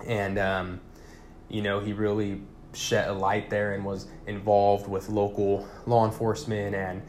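A young man talking casually and haltingly on his solo podcast, using informal slang. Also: on the low side, little pitch movement, a little mellow, slight background noise. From California, born in Puerto Rico. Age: young adult. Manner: informal, slangy, hesitant with frequent pauses. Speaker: podcast host.

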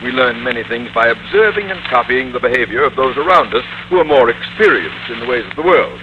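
A man's voice: mid-range voice, expressive, a little fast, natural voice. Man acting a pompous, stern school principal, old radio. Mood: pompous, instructing. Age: middle-aged. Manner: pompous, orotund, wordy. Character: pompous, stern middle-aged school principal and suspicious father. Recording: noisy, muffled vintage radio recording.

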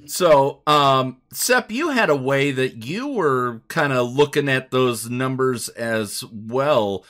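A man hosts a casual podcast interview at a slow, unhurried pace. His delivery is halting, and he often rewords a question while he is asking it. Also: mid-range voice, expressive, a bit bright. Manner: halting, rephrases questions as they form. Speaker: podcast host.

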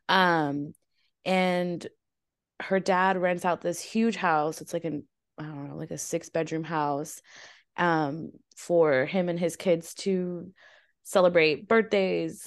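Raspy young woman co-host, lowish pitch, relaxed rambling delivery, dry sound. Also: unhurried, no room sound. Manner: relaxed, rambling, laughs often. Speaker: podcast co-host. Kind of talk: casual chat.

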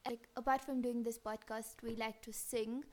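A young woman with a high voice and a mixed, Kenyan-influenced accent chats as a podcast co-host. She talks loosely and giggles often, with "like" in nearly every sentence. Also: little pitch movement, normal speed, a little mellow. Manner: giggly, chatty, fillers like "like". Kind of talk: casual chat.

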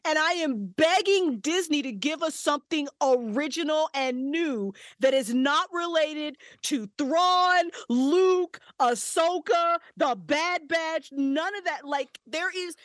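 Brief: outspoken woman guest, mid pitch, swears freely, dry close sound. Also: slow pace, few pauses, no room sound. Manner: passionate, swears often, ranting. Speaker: podcast guest, writer and voice actress. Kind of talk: casual interview chat.

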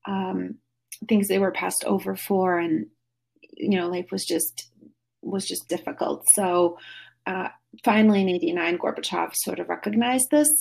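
A middle-aged woman on a podcast call tells her story in a loose, rambling way full of fillers and restarts. Her voice sits low, with a breathy, husky grain and a steady gravelly fry underneath. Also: measured pace. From Russia. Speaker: podcast guest. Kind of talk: casual remote interview. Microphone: phone or video call.

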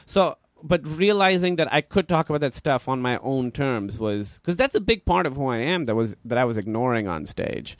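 A man's voice: mid-range voice, lively intonation, normal speed, few pauses, no room sound. Chatty Pakistani-accented man, dry studio sound. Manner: rambling, lots of "like" and restarts. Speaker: podcast guest, comedian. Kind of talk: casual interview chat.

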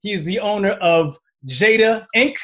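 A man's voice: high-pitched, normal speed. A man hosting a podcast, chatting casually with a guest and often repeating words. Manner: relaxed, repeats words, says man and right a lot. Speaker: podcast host. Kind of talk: casual interview chat.

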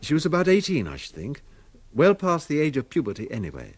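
A man's voice: on the high side, huge pitch swings, a little fast, no echo. A man playing a courteous, thoughtful investigator with dry humour in a radio drama, speaking politely and a little properly. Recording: noisy radio drama recording.

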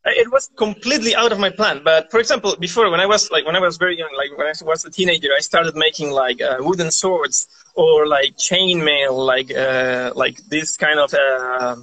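A man's voice: fairly high pitch, moderate pace, few pauses, pushed and bright, low-quality recording. A man from Chile, chatting casually as an interview guest in a rambling way, often saying "like". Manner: rambling, peppered with "like". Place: live video call.

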